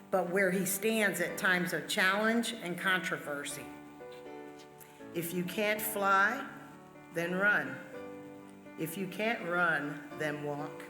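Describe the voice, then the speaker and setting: A woman reading out famous quotations as a church member. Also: on the low side. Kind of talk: recited quotations. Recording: echoey hall, music underneath. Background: music.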